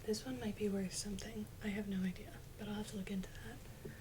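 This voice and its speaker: A woman speaking softly and breathily in casual ASMR style, often slipping into a whisper, gently musing to herself. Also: mid-range voice, fairly even intonation, fairly quick. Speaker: ASMR video creator. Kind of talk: soft-spoken ASMR, casual toy unboxing chat. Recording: loud crinkling and clicking handling noise. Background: crinkles, clicks, crumpling.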